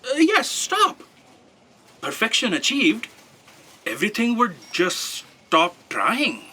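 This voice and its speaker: Here is a woman performing a thoughtful, hesitant female bureau worker in an audio drama. Her voice is husky yet bright and moves slowly, with a lively rise and fall. She sounds like someone puzzling things through. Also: on the high side, exaggerated voice. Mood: pensive.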